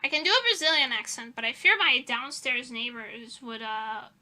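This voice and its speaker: A woman's voice: on the high side, normal speed, pushed and bright. A young woman streaming as a VTuber, chatting casually with her viewers in a rambling, giggly way.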